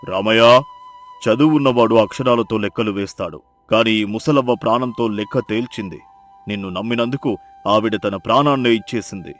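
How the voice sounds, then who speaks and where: A man plays a grave village landlord from a storybook tale. His voice is lowered, sorrowful and wise, over background music. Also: a little fast, a bit bright, lightly acted. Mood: solemn, moved.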